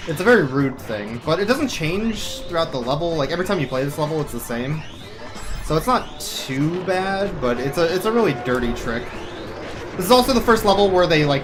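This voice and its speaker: A man giving casual, explanatory live commentary as he plays through a game, with a wry streak. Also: fairly high pitch. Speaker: speedrunner. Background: game music.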